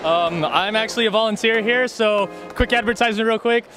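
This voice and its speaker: Young male con volunteer, friendly, high-voiced, music playing under him. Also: a little fast. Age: young adult. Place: busy convention hall. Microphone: held interview mic.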